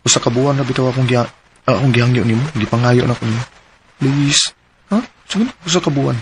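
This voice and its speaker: An actor playing a hesitant young boyfriend in a radio drama, coaxing and pleading with a Cebuano Filipino accent. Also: male voice, mid-range voice, fast, noisy background, lightly acted. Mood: playful coaxing. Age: young adult.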